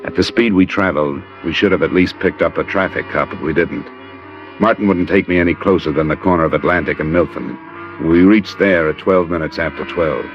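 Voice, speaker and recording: A man plays a hard-boiled American investigator with a deep, deadpan delivery. He talks in terse sentences, as in a vintage radio crime drama. The old recording is dull, with faint music behind. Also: a little fast, close to an everyday voice. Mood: grim, tense. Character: hard-boiled male insurance investigator narrating his case. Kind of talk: 1940s-50s radio crime drama narration.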